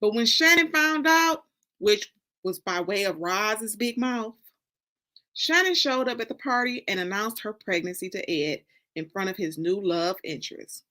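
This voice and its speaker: An American woman from Detroit giving a dramatic first-person story reading, with deliberate, expressive phrasing and pauses. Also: medium pitch. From Detroit, United States. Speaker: author reading from a novel.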